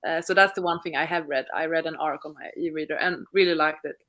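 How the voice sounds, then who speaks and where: A woman's voice: sits low, fairly even intonation, fast, fairly bright tone. A woman co-hosting a live reading stream, chatting in a friendly way and asking the others questions. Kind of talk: casual chat.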